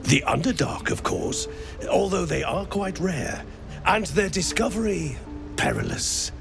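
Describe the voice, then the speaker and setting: Erudite game adventurer: inquisitive and theatrical, hugely varied pitch, unhurried delivery over clear music. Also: male voice, very animated, full character voice. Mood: pondering. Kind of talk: video game dialogue acting.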